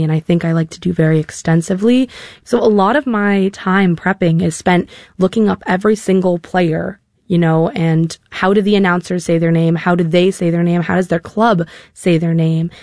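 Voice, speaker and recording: A woman's voice: on the low side, a little fast, a little mellow, no room sound. A nineteen-year-old American woman giving conversational interview answers into a close studio microphone. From Massachusetts, United States. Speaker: interview guest, stadium public address announcer. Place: radio studio.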